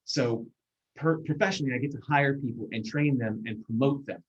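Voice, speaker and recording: A man in his forties, a guest speaker, giving a measured, earnest talk over a video call, with fillers and restarts. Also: medium pitch, slightly rough. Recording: muffled video call audio.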